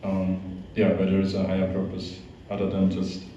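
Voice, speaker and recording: A man's voice: deep voice, completely flat delivery, normal speed, warm tone, slight background noise. Hesitant Austrian man in an echoey hall. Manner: hesitant, many fillers and restarts. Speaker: guest speaker, monk. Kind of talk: informal talk to a youth group. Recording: echoey, distant, dull hall recording.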